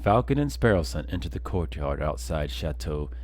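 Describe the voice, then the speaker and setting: A man streaming a video game, reading its narration aloud in a hushed, low voice. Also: very animated, normal speed, faint music behind.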